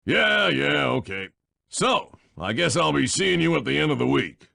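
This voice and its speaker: An actor playing a folksy villainous train baron in a video game, with a gravelly voice and a cowboy drawl. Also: male voice, fairly high pitch, very animated, moderate pace, bright, forceful tone, exaggerated voice. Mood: boastful. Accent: North American cowboy drawl. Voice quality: gravelly, rough.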